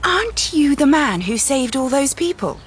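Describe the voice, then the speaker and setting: An actress playing an eager, flirtatious witness in a radio drama, coy and hesitant in manner. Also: female voice, sits high, big pitch swings, normal speed, a little hiss or hum. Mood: coy, admiring.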